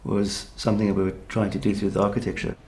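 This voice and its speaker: A man, an architect, speaking in a measured and articulate way about a design brief. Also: lowish voice, level tone, a little mellow. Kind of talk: reflective conversational chat.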